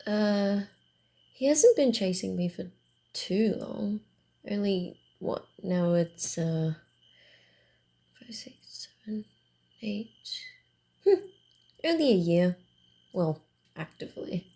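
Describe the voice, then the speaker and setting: A woman voicing a proud, playful vampire wanderer in an ASMR roleplay, halting and teasing, easily flustered. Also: medium pitch, lively intonation, vocal fry, dark tone. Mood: wry, reflective. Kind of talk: ASMR roleplay, acted one-sided dialogue.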